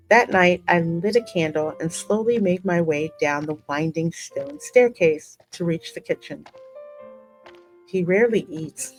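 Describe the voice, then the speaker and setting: Female game streamer, lowish voice, expressive read-aloud narration over background music. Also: poor audio. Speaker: gaming streamer reading the game heroine's part aloud. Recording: music running behind the voice.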